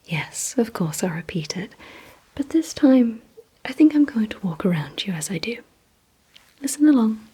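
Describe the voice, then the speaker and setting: A woman whispering an ASMR roleplay as a composed, commanding vampire, giving slow, deliberate, coaxing instructions in a breathy voice. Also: a little high, very animated, dark tone, rough recording. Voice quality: breathy, mostly unvoiced whisper. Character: composed, commanding female vampire quizzing her listener. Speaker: ASMR performer.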